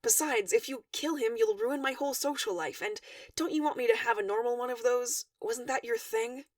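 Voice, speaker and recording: Woman voicing a snappy, awkward teenage boy, lively pitch. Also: normal speed, gravelly, no echo, studio quality, far from the mic. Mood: urgent, snappy. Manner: slangy, blunt, exasperated.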